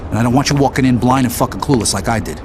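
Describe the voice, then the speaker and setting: An actor playing a world-weary, blunt American mobster in a gritty crime game. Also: male voice, mid-range voice, rapid-fire, background noise, natural voice. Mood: firm, instructive. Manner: tough, blunt, streetwise. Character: world-weary, hard-bitten male mobster, loyal to family. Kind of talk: gritty crime drama narration and dialogue.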